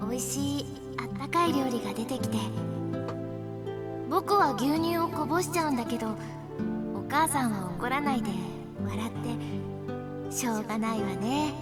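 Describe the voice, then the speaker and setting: A very high, soft child's voice, played by an actor, sweet, innocent and earnest, in the style of a Japanese visual novel. Music plays prominently behind the dialogue. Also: fast, lightly acted. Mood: wistful.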